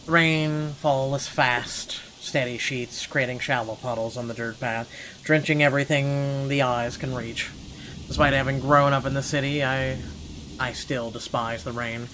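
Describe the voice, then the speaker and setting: Expressive man narrating over clear background noise. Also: mid-range voice, rough recording. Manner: expressive, sweary commentary between read passages. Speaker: gaming video host reading a visual novel aloud. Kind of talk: dramatic first-person narration with blunt reaction asides.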